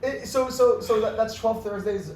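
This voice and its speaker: High-voiced excitable young man, echoey room. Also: normal speed. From New York City area. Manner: excitable, rambling, lots of "like" and restarts. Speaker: podcast guest, comedian. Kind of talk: casual storytelling chat. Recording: echoey room, mic at a distance, faint noise.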